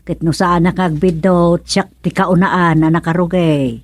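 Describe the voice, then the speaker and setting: A woman's voice: on the low side, fairly even intonation, measured pace, darkish tone, no room sound, close to an everyday voice. A woman playing an industrious, thrifty older village gardener in an Ilocano radio comedy drama, with an Ilocano accent. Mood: proud, homely.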